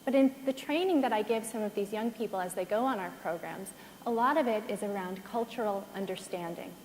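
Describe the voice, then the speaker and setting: A woman's voice: mid-range voice, fairly quick, darkish tone, a little hiss or hum. American-accented woman in a reverberant hall. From Ireland, raised in the United States. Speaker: panelist. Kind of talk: panel talk. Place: conference hall.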